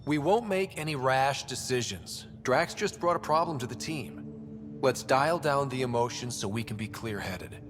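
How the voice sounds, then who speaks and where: An actor playing a level-headed young space expedition leader in a video game, steady and informal. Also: male voice, medium pitch, moderate pace, a little hiss or hum, natural voice. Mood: composed, decisive. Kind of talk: video game cutscene acting. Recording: long reverb, faint music behind.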